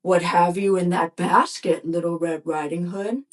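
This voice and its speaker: A woman voicing a wicked big male wolf with a gruff, low, drawn-out manner. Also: steady tone, far from the mic, close to an everyday voice. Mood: sly.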